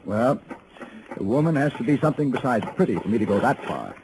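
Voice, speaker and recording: An actor playing a cool, wry, self-possessed gentleman gunfighter in a 1950s radio western, speaking in a dry, laconic way. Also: mid-range voice, big pitch swings, fast. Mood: wry, assured. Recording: noisy vintage radio recording.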